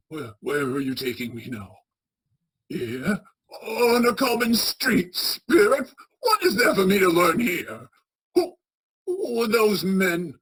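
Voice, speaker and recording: A woman playing an excitable, repentant old male miser in a radio play, stammering and exclaiming. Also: lowish voice, husky, full character voice, low-quality recording. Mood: fearful, mournful. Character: frightened old male miser, desperately repentant, then giddy.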